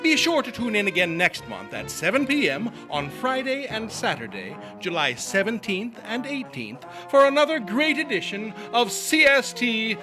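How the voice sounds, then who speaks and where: A man's voice: high-pitched, sing-song, normal speed, hardly any pauses, over music, low-quality recording. A man hosting and announcing a show in an old-time radio style, showy and formal.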